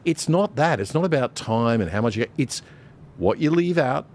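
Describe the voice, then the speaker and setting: A man's voice: mid-range voice, lively intonation, moderate pace, darkish tone. A middle-aged Australian man, a broadcaster on a discussion panel, talking emphatically with many fillers and restarts.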